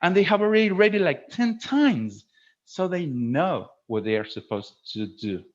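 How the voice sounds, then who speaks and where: A man's voice: fairly high pitch, sing-song, moderate pace. A man presenting a webinar to fellow teachers in a didactic way, stressing key words and often checking in with a short questioning tag. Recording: dull lo-fi online webinar audio.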